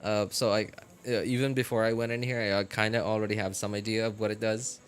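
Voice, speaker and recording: A man's voice: lowish voice, fast talker. Rambling man chatting on a game stream. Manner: rambling, filler-heavy, animated opinions. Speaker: gaming streamer. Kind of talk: casual live-stream chat.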